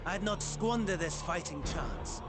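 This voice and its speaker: A cold game antagonist who speaks in measured, condescending tones, his voice pitched high. Loud orchestral music swells behind him throughout the cutscene. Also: animated. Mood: mocking.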